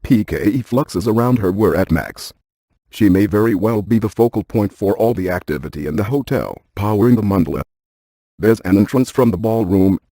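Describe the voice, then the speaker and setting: An actor playing a brainy, dryly cautious ghost hunter scientist in a video game, speaking in a deadpan, precise, technical way. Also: male voice, fairly low pitch, normal speed, dry recording. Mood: matter-of-fact, explanatory. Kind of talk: scripted video game character dialogue.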